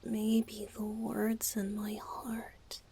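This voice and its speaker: A woman voicing a tender, playful young male bard spirit in a soft, breathy whisper for a romantic ASMR roleplay, speaking in a halting, heartfelt way. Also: medium pitch, completely flat delivery, background noise. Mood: tender, confessional.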